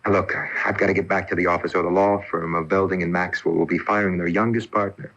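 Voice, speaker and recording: On a muffled lo-fi radio tape, a young lawyer with a deep voice. He is brisk and dismissive, in radio mystery style. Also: steady tone, creaky, fairly bright tone, heavily acted. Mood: hurried. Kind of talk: 1980s radio mystery drama acting.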